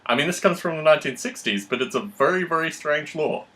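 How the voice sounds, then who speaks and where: A man's voice: on the high side, animated, fast, fairly bright tone, low-quality recording. Aussie guy hosting a talk show, articulate and analytical, explains stuff at length in formal wording. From Australia. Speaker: podcast host. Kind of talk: reflective discussion, long explanatory monologues.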